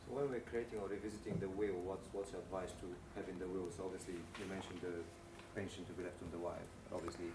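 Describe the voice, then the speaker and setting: A man from Bulgaria asking questions from a seminar audience, quick and a little hesitant. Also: sits low, level tone. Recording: distant, roomy, noisy.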